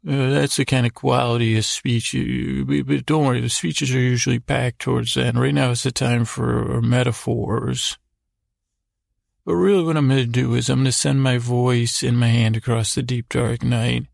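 Gravelly, creaky man rambling at bedtime. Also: medium pitch, fairly even intonation, dry recording, clean studio sound. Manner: meandering, halting, repeats words and trails off. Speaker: podcast host. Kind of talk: rambling bedtime monologue to help listeners sleep.

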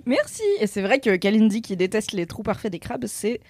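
A woman's voice: medium pitch, a little fast, a little mellow, slightly rough, no room sound, clean studio sound. A woman co-hosting a casual podcast, teasing the others and laughing a lot. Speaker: podcast co-host.

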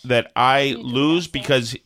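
A man's voice: medium pitch, steady tone, takes it slowly, fairly bright tone. A man co-hosting a casual live-stream chat, ranting sarcastically while coughing and losing his voice. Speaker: co-host.

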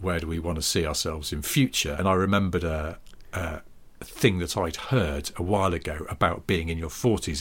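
A man's voice: low-pitched, fairly bright tone. A man in his forties co-hosting a podcast, telling stories in a rambling way with frequent ums and restarts. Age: 40s. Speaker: podcast co-host. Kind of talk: casual chat.

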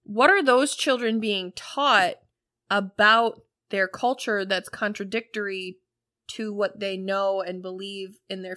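A woman's voice: medium pitch, sharp tone, no room sound, studio quality. A woman hosting a casual podcast chat, emphatic and blunt, with the odd swear word. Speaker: podcast host.

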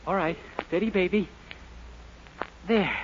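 A man's voice: sits high, slow. An actor playing a naive, good-natured young man, boyish and earnest, in a 1940s radio sitcom. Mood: matter-of-fact. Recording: very noisy, muffled 1940s radio recording.

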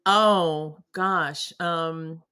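A woman's voice: deep voice, steady tone, very slow, sharp tone, clear, resonant tone. A middle-aged woman from New England with a faint Boston accent, a guest on a video-call interview, talking animatedly with frequent laughs and restarts. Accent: Boston, mostly lost. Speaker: guest artist. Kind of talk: casual interview chat. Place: home studio in front of her paintings.

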